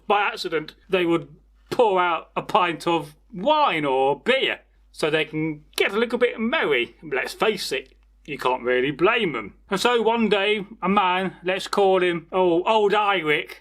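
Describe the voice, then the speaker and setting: A high-voiced man hosts as a storyteller, spinning a folktale informally. He rambles chattily and often turns aside to address the listeners directly. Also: fairly bright tone. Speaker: storyteller, channel host.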